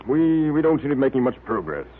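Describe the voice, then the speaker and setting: An actor playing a worried, courteous ship's captain in a radio drama, formal and grumbling. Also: male voice, medium pitch, very animated, quick pace, phone-quality sound, natural voice. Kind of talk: 1930s-40s radio drama acting. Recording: thin, noisy vintage radio recording.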